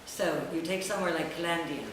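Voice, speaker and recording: A middle-aged woman, a writer, presenting her work in a halting, reflective public talk with long pauses. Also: sits low. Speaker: writer and editor presenting own essay. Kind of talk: public talk, semi-improvised. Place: echoey indoor room at a public event. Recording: very echoey distant room recording, noisy.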